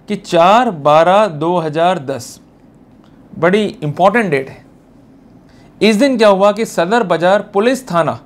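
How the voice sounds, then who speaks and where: An Indian man giving a motivational legal lecture, exhorting the listener emphatically with rhetorical questions and English terms mixed in. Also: high voice. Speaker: presenter of a legal lecture series, advocate.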